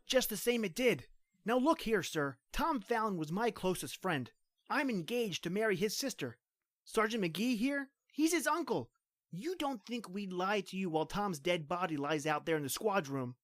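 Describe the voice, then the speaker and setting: An actor playing an earnest young state trooper who insists, with a high voice, that his strange story is true. The sound is dry and close, as in a small studio. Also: expressive, normal speed, dry recording. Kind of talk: old-time radio drama reading.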